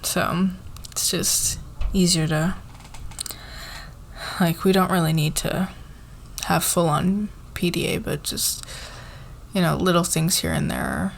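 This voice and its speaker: Female company boss played by a woman, roleplay ASMR: slightly low, halting and casual, flustered, clear background noise. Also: very animated, exaggerated voice. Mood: relieved, intimate. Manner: halting, hesitant, giggly, informal. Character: flustered, fair-minded female company boss in secret romance.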